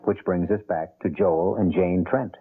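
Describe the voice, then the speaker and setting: An actor as the ominous, philosophical host of a radio mystery, narrating in a grave, portentous and measured manner. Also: male voice, low voice, fairly even intonation, breathy edge. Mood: grave, foreboding. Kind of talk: dramatic scripted radio narration. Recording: narrow-band old radio broadcast, music behind.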